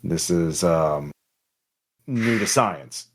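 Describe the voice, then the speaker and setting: Low-voiced man running a tabletop game. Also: expressive. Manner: halting, improvised delivery. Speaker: game master. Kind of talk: tabletop roleplaying game narration.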